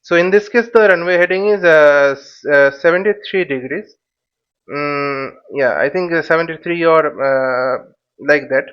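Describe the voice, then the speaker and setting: A man giving an explanatory tutorial as an instructor, thinking aloud in a halting, repetitive way and often checking in with short tags. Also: on the high side, clean tone, highs cut off. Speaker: flight simulation instructor.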